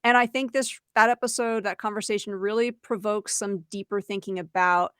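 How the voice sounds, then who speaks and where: A woman's voice: medium pitch, steady tone, moderate pace, fairly bright tone, clear, resonant tone, no room sound, studio quality. A woman co-hosting an educators' webinar, talking conversationally in an explanatory way, voicing example lines and checking in with the listener. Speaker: podcast co-host and educator. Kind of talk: conversational webinar talk for teachers.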